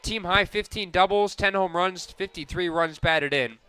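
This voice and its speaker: A man calling softball play-by-play for radio, narrating each pitch and play in crisp descriptive detail. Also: normal speed. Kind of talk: live softball radio commentary.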